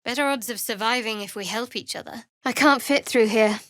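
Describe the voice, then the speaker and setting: A woman voicing a guarded, wry young female cleric of a dark goddess in a video game, speaking in a measured, dry way on a close mic. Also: a little high, no room sound, natural voice. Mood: guarded, firm. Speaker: fantasy adventurer companion. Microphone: close, dry studio mic.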